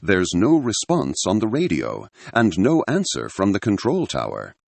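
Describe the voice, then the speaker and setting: A weary, practical stranded pilot in an audiobook adventure, played by a man. The voice is low and husky, with creak in it and lively swings of pitch. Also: unhurried, dry recording, exaggerated voice. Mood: worried.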